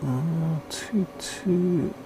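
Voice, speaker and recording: Gravelly British man thinking aloud. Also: high voice, extremely slow, background noise. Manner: muttering to himself while working, thinking aloud. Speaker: livestream host, radio repair hobbyist. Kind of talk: casual live repair commentary.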